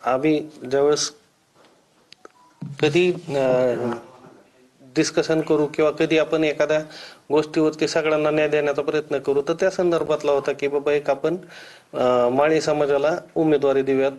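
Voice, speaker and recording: A man giving hesitant, deferential interview answers in a halting way. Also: fairly high pitch, level tone, darkish tone. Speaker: interviewee, relative of a politician.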